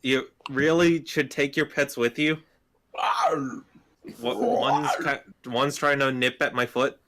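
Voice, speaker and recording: A man leading a tabletop game on a live stream as game master, halting and deliberate, with many pauses and fillers. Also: mid-range voice, background noise.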